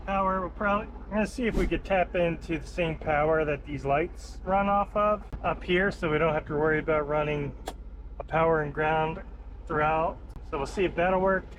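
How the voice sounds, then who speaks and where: A man giving a casual, unscripted hands-on installation walkthrough, thinking aloud informally as he works. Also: on the high side. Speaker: vehicle installer presenting a how-to video. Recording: noisy, with clear background vehicle noise.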